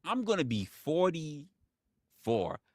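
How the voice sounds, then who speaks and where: A man's voice: fairly high pitch, very animated, slow, dry recording. A man in his forties hosting a casual livestream monologue, emphatic and profane, often repeating his phrases for effect. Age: 40s. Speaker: livestream host.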